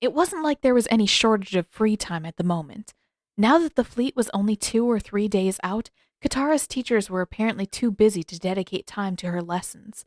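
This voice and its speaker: Female audiobook narrator, scripted storytelling, medium pitch, dry close recording. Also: lively intonation, a little fast, no echo.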